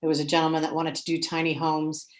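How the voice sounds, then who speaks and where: Low-voiced woman speaking frankly. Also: completely flat delivery, fast talker. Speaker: panelist with planning background. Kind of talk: earnest panel discussion talk. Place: remote video call. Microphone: video call.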